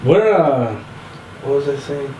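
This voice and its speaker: A man's voice: mid-range voice, lively intonation, slow, dark tone, muffled recording, slight background noise. Distant interviewer man in a roomy space. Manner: brief questions and prompts. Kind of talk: casual interview.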